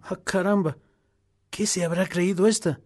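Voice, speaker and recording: An actor as a gruff, stubborn Bolivian father, higher-voiced. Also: fairly even intonation, moderate pace, heavy background noise, close to an everyday voice. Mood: defensive, irritated. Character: irritable, defensive father, hard-drinking and stubborn. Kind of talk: radio soap acting.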